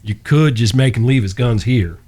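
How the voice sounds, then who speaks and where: An actor playing an earnest, courteous American cowboy younger brother, mild and folksy, in a western audio drama. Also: male voice, mid-range voice, moderate pace, darkish tone, close to an everyday voice. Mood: agreeable, wry.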